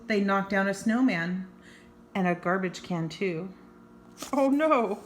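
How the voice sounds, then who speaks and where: A woman's voice: mid-range voice, expressive, slow, slight background noise. An older woman reading a children's story aloud as a grandmother, expressively, with chatty asides and exclamations. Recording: roomy, echoing home recording.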